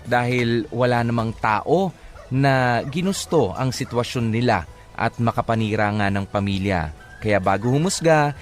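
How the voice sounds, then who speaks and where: A man hosting a radio show as a DJ, bantering in an informal, casual way. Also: mid-range voice. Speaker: radio DJ and host. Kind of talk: casual radio host chat.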